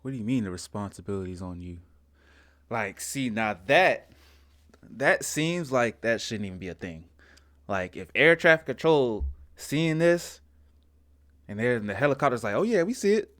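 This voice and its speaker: A man's voice: medium pitch, animated, normal speed, a bit bright. A man hosting a reaction video, commenting casually in colloquial speech with an incredulous manner, repeating himself for emphasis.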